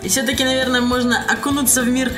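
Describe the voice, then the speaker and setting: A woman with a fairly high voice co-hosts a radio show, relaying news items in a conversational style. Music plays clearly behind her as she talks. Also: level tone, fast talker. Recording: music playing under the talk.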